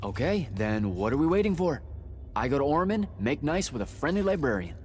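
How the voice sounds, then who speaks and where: An actor playing a plucky battlemage initiate, the hero of a fantasy video game, with a casual, informal way of talking. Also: male voice, fairly high pitch, very animated, a little fast, a little mellow, soft background music. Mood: eager. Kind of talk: game cutscene acting.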